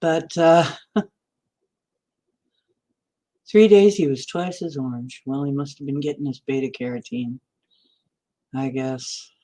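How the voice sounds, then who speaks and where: A woman's voice: very deep voice, slow, pauses often, warm tone. A woman in her sixties chatting in a meandering way on a livestream, answering viewer comments as she goes. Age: 60s. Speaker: livestream host. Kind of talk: rambling livestream chat.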